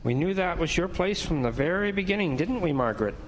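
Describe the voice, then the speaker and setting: A ghostly retired reverend, played by an actor, sly and deliberate. His fairly high male voice swings widely in pitch, in a reverberant hall with music underneath. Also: animated, a little hiss or hum, natural voice. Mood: quietly commanding. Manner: deliberate, smoothly taunting. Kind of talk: audio horror drama acting.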